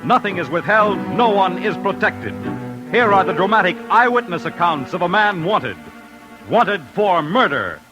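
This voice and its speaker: Man, high voice, dramatic old-time radio announcer, solemn and emphatic, loud music behind. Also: lively intonation, moderate pace, low-quality recording. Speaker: program announcer.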